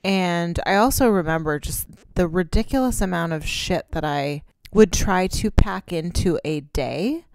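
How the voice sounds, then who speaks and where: A woman chats casually as a podcast co-host, candid and rambling, swearing now and then. Her voice sits a little low. She is close on the mic in a dry, dead-sounding space. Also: animated, unhurried, gravelly, few pauses, a little mellow, clean tone, dry recording. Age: 30s. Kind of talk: casual chat.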